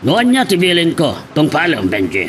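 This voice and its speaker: A man's voice: fairly high pitch, huge pitch swings, moderate pace, fairly bright tone, a little hiss or hum. A man playing a bossy employer in an Ilocano radio drama.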